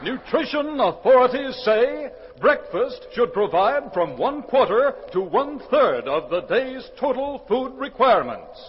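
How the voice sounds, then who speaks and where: Very high, urgent male ad announcer. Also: big pitch swings, a little slow, few pauses, husky. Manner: urgent, emphatic. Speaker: commercial announcer. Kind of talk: 1940s radio advertisement. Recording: muffled old radio recording.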